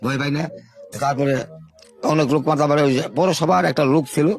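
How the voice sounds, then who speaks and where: An older man. He tells his life story to an interviewer at a brisk pace and often repeats his words. Faint music plays under his voice. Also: fairly high pitch, steady tone. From Bangladesh, Sherpur. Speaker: interview guest.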